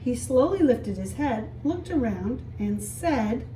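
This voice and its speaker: A woman reading a picture book aloud as a story time teacher, expressively, pausing to explain and ask young children simple questions. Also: fairly high pitch, expressive, dark tone. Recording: echoey room, distant mic, faint hiss.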